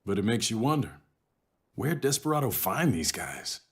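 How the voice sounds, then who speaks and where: An actor playing a laid-back, wry military man in a video game, with a husky voice and casual delivery. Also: medium pitch, big pitch swings, moderate pace, heavily acted. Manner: casual, wisecracking. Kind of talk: video game cutscene acting.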